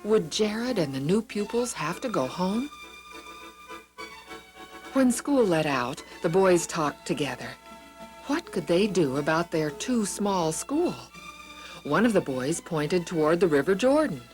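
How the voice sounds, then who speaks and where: Male storybook narrator, highly varied intonation, dramatic read for children, soft music bed underneath. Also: unhurried. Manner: animated, dramatic, voices the characters. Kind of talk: expressive scripted storybook narration.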